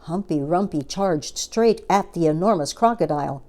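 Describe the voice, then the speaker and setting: Lady reading a kids story, kinda low mellow voice, dramatic and expressive. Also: normal speed.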